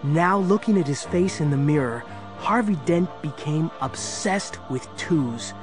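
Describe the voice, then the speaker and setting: A man's voice: on the high side, expressive, unhurried, music in the background. A man narrating a scripted story in a dramatic storytelling style.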